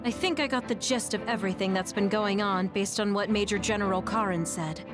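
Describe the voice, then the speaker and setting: A woman's voice: medium pitch, a little fast, music in the background, natural voice. An actress playing a composed, friendly woman squad member in a video game. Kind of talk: English video game voice acting.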